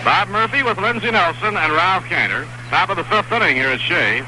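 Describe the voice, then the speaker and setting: Man calling baseball on noisy old radio. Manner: steady, measured calling of each pitch. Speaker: baseball play-by-play announcer. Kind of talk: live radio sports commentary. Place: stadium broadcast booth. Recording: noisy vintage 1960s radio broadcast.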